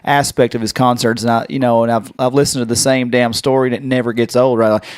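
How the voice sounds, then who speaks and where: A man's voice: mid-range voice, level tone, fast talker, clean tone, no echo. Male podcast host, chatty and eager, dry close sound. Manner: chatty, enthusiastic fan talk. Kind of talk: casual interview chat.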